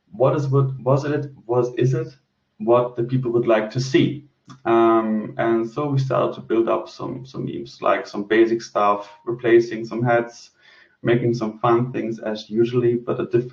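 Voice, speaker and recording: A man's voice: mid-range voice, steady tone, unhurried, soft background music. Distant, roomy man rambling through a chat. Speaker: host and crypto project lead. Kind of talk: casual group Q&A talk. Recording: roomy, distant, dull online-call audio.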